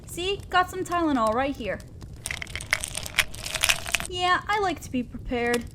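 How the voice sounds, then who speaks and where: A high, unhurried young female voice with noticeable background ambience behind it. A woman acts out a shy, kind neighbor in a roleplay audio drama, hesitant and awkward, rambling a little as she talks one-on-one. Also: lively intonation, slight background noise, heavily acted, low-quality recording. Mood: friendly, helpful. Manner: hesitant, soft-spoken, rambling, self-deprecating. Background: outdoor fire ambience.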